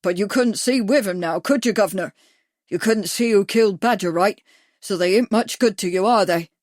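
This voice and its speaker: A woman's voice: mid-range voice, normal speed, darkish tone, no room sound, studio quality, natural voice. A woman voicing a burly, menacing man with a thick accent who snarls and growls threats.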